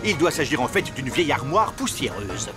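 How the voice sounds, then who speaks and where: A man's voice: mid-range voice, big pitch swings, normal speed, over music, close to an everyday voice. A man voicing a pompous, bumbling fairy king and father in a cartoon dub. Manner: pompous, blustering.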